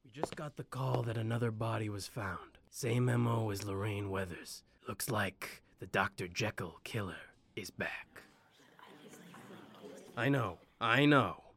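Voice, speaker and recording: A man's voice: lowish voice, measured pace, gravelly. Commanding, no-nonsense precinct chief played by a man, official and curt, faint room ambience, radio drama. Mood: grave, official. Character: brusque, commanding male police chief giving briefings. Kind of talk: audio drama acting. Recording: faint background noise and ambience.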